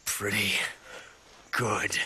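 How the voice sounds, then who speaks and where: An actor playing a cocky, hot-tempered young Soviet officer in a video game, theatrical and sneering. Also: male voice, fairly low pitch, flat delivery, extremely slow, bright, forceful tone, a little hiss or hum, exaggerated voice. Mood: seething. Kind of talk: dramatic video game cutscene acting. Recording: dramatic music behind.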